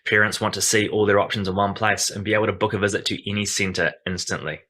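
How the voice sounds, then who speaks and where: A man giving a polished, confident startup pitch as founder. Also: little pitch movement, fast talker, sharp tone.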